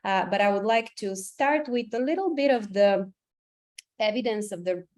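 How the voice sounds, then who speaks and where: A woman's voice: medium pitch, expressive, moderate pace, a little mellow. A woman with a Bulgarian accent, a clinical psychologist, giving a careful webinar presentation over a video call, with many "um" fillers.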